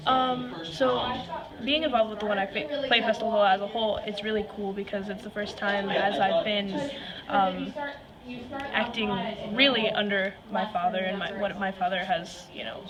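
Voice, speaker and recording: Woman, casual interviewee, actor, average pitch, very noisy crowd. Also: steady tone, moderate pace. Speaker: play actor being interviewed. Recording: very loud crowd chatter around voice. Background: loud crowd.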